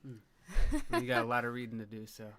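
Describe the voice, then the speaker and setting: A woman's voice: very deep voice, lively intonation, moderate pace, background noise. A woman co-hosting a live stream, adding short, supportive remarks in casual chat.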